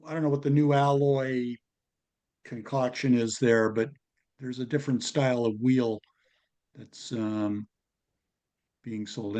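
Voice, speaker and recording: A man on a group call, casually asking for advice in a tentative, halting way. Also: medium pitch, darkish tone. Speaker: club member asking for advice. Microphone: conference call.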